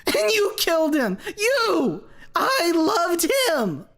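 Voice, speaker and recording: A man voicing a grieving, deranged man who screams and laughs wildly, his voice cracking high. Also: takes it slowly. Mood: screaming. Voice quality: shrieking, cracked, manic laughter.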